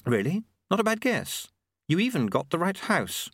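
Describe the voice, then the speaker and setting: A man puts on a knowing storybook woman, pitched well above his own voice. She is brisk and sarcastic, with lively swings of pitch and little patience. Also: slightly rough, dry recording, studio quality, heavily acted. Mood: sardonic, probing. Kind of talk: audiobook character acting.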